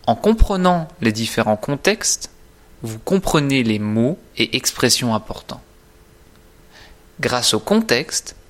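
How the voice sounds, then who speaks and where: Slow, deliberate male teacher. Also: medium pitch. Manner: deliberate, carefully articulated, didactic. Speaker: French teacher presenting own course. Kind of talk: scripted instructional narration.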